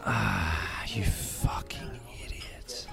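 An actor playing a gentle, apologetic boyfriend in an audio drama, speaking in a hesitant, subdued, pleading way. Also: male voice, lowish voice, drawn-out words, creaky, whispered, full character voice. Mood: resigned, hurt. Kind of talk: audio drama acting, naturalistic. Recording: music playing behind the voice.

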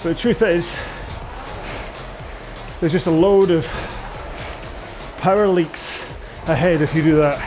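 A man's voice: a little high, slow, long pauses, low-quality recording. A man coaching a rowing workout in a casual, rambling, jokey and conversational way. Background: music.

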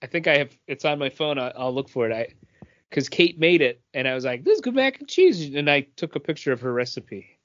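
A man's voice: a little high, big pitch swings, brisk, a bit bright, no room sound, lo-fi sound. Man chatting on a dry, close podcast. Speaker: podcast co-host. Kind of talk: casual panel chat.

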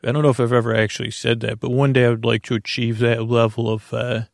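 Creaky, meandering man, bedtime podcast host. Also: medium pitch, flat delivery, no echo, clean studio sound. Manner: meandering, halting, soothing, full of tangents. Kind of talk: slow rambling bedtime monologue.

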